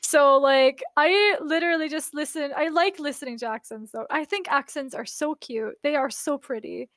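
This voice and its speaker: A woman's voice: sits high, normal speed, a bit bright, dry recording, clean studio sound. A woman from Scandinavia chatting on a live role-play stream, enthusiastic and gushing, laughing often. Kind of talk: casual chat on a live stream.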